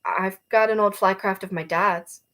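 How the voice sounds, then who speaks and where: A woman's voice: mid-range voice, steady tone, normal speed, fairly bright tone, close to an everyday voice. A woman voicing a restless, earnest young nonbinary soldier and pilot in a novel reading, speaking casually. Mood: earnest, defensive.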